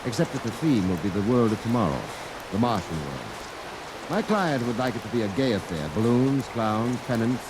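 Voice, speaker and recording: An actor in a 1950s radio drama playing a calm, formal male Martian who poses as a businessman, speaking in a stiff, precise, measured way. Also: medium pitch, background noise, natural voice. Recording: vintage radio recording under loud rain.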